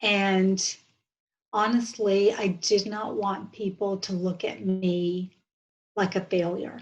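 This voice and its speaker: A woman of about fifty with an American accent, talking earnestly and haltingly as a guest in an interview, often restarting her sentences. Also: medium pitch, level tone, lo-fi sound. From southern United States. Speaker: podcast guest. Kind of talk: reflective interview conversation.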